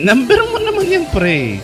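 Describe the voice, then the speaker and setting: An actor with a Filipino accent playing an eager young buddy in a radio drama, over music. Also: male voice, extremely high pitch, huge pitch swings, takes it slowly, exaggerated voice. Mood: eager, amused. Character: eager young buddy asking for a girl's number. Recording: music under the voice.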